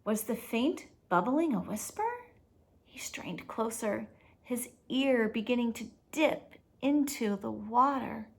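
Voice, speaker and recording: A woman's voice: fairly high pitch, lively intonation, slow, creaky. A woman hosting a read-aloud, telling a story expressively and stepping out for casual explanations to young listeners.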